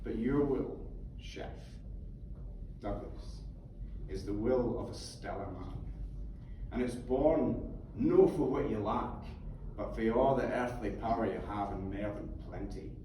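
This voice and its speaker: A man's voice: on the low side, takes it slowly. A man reading the part of a fervent, sweary Scottish mentor who philosophises in an emphatic, coaxing manner. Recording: echoey theatre from far off, faint noise.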